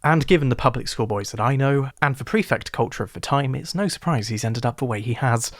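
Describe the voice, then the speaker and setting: A man with an English RP accent narrating a scripted video essay in a wry, sarcastic, animated way. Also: medium pitch, a little fast.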